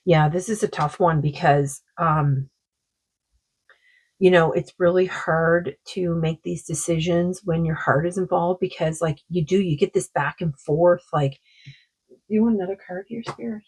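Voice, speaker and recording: Lady doing a card reading, kinda hoarse and losing her voice, lots of likes and you knows. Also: fairly low pitch, fairly even intonation, darkish tone, poor audio. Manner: rambling, many fillers, reads card texts aloud slowly. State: sore throat, losing her voice. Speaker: oracle card reader. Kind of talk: casual card reading monologue.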